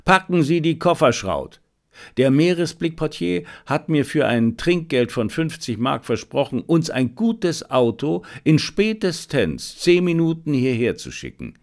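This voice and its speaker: A man voicing a confident gentleman amateur detective in an audiobook, self-assured and commanding, given to reflection. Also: fairly high pitch, big pitch swings, unhurried. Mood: urgent, hurried.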